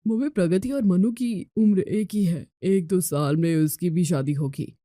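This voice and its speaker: A woman's voice: fairly low pitch, normal speed, warm tone, no room sound, studio quality, close to an everyday voice. A woman voicing a reproachful young husband who reasons with his family in a storybook tale. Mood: reasoning. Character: reproachful young husband, son caught between wife and mother.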